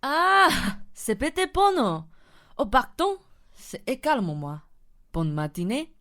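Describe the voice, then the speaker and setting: Young woman doing bossy crook boss in a radio farce, high voice, super dramatic, up and down a lot. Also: wildly swooping pitch, slow, a bit bright, exaggerated voice. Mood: theatrical, brisk. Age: young adult. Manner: indignant, melodramatic, exclamatory. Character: bossy, theatrical male pickpocket gang leader, scolding his apprentices. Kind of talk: French stage farce performed as radio play.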